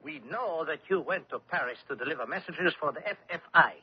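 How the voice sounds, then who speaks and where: An actor playing an officious, accusing German army lieutenant in an old-time radio drama, speaking insistently. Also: male voice, on the high side, lively intonation, a little fast, slightly rough. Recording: dull old radio recording.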